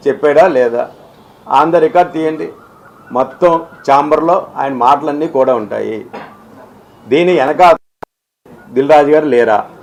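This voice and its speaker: A man making an unscripted statement to the press, halting and repeating himself, emphatic and argumentative. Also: a little high, a little mellow, poor audio. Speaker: film exhibitor speaking on industry dispute.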